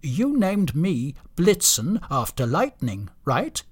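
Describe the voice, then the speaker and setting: Storybook reindeer played by a man: proud, pedantic, argumentative, raised pitch, husky edge. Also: wildly swooping pitch, slow, full character voice. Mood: indignant. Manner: indignant, pedantic.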